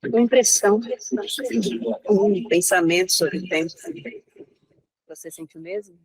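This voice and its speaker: A woman's voice: lowish voice, unhurried, husky, low-quality recording. An actress playing an exhausted woman in an audio drama, confiding in her partner hesitantly and reflectively, in a naturalistic style. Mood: pensive, tender. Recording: music bed behind the voice.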